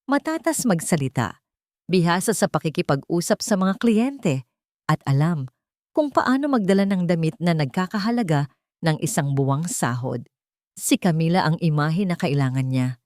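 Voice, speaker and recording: A woman's voice: on the low side, animated, normal speed, a little mellow, no echo, clean studio sound. A woman giving a dramatic scripted narration of a love story.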